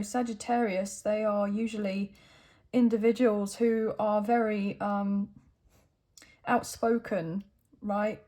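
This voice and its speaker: A woman with a croaky throat giving a chatty tarot reading to camera, thinking aloud as she draws cards. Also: a little high, dark tone. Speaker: tarot reader.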